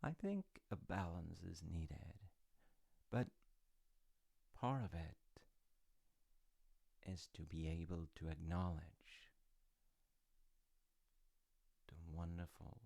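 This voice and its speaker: Man playing a deep, gravelly, unhurried storytelling dad. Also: exaggerated voice. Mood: gently reassuring. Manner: unhurried, musing, gently reassuring. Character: reflective, encouraging, easygoing storytelling father. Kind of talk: intimate audio roleplay, conversational rambling.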